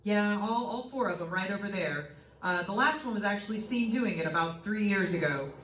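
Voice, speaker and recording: A woman's voice: mid-range voice, animated, a little fast, a bit bright, lightly acted. A woman playing a plain-talking, skeptical male real estate agent in old-time radio drama style, telling things in a folksy, matter-of-fact way. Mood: grave, matter-of-fact. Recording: echoey room, distant mic, faint noise.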